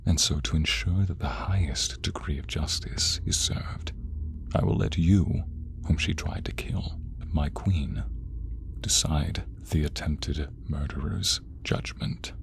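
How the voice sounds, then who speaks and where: A man's voice: a bass voice, gravelly, exaggerated voice. A man playing a solemn, devoted king in a romantic fantasy audio drama, speaking in stately, tender declamation. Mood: hushed, grave. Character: solemn, devoted, loving young king addressing court and bride. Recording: long hall reverb, music underneath.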